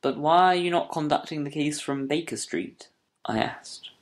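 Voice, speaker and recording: Guy acting detective's polite sidekick, a man with crackly fry in his voice, measured old-fashioned wording, audiobook narrator. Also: a little high, expressive. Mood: measured, recounting. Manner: polite, measured, formal period diction. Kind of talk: dramatised audiobook narration and dialogue.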